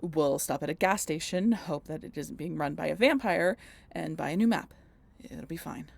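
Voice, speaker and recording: A woman acts out a sardonic female vampire in a scripted audio roleplay. Her voice is slightly low and husky, with a creaky edge. She talks straight to the listener in a wry, teasing, dramatic manner. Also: moderate pace, a little mellow, full character voice. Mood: exasperated. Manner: sardonic, wry, playful, conversational. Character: snarky, swearing female vampire road-tripper, teasing and dramatic.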